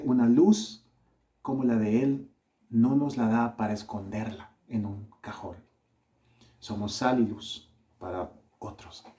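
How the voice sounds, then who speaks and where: A man from El Salvador with a Salvadoran accent softened by Colombian touches, preaching a sermon in an earnest, measured way. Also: mid-range voice.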